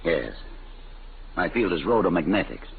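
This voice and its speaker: An actor playing a weary, ailing old refugee inventor in a 1950s radio drama, speaking gravely, courteously and formally. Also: male voice, deep voice, very animated, moderate pace, heavily acted. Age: older. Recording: muffled, noisy 1950 radio broadcast.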